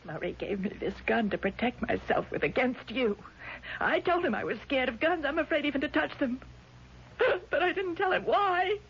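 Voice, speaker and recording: An actress playing a distraught, accusing sister, grieving and frightened, in intense 1970s radio drama style. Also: female voice, high voice, expressive, normal speed, a bit bright. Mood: tearful despair. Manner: intense, melodramatic, emphatic. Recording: dull, noisy vintage radio recording.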